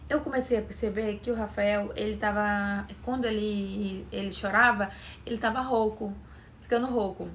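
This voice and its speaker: A woman's voice: medium pitch, fairly even intonation, moderate pace. A young woman from Brazil talking casually to camera as a vlogger, chatty, retelling events and quoting what others said. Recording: dull lo-fi sound with faint background noise.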